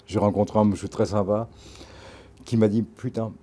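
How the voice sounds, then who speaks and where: An older man from Normandy, in his sixties, talks in a low, dark voice. He rambles through anecdotes in loose slang, restarting and circling back as he goes. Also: fairly even intonation, normal speed. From Normandy, France. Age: 60s. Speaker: tattoo artist and photographer. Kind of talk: casual interview, storytelling.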